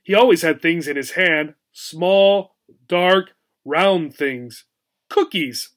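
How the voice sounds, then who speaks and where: A man narrating a children's story as an audiobook reader, in an expressive storytelling manner. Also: fairly high pitch, slow pace.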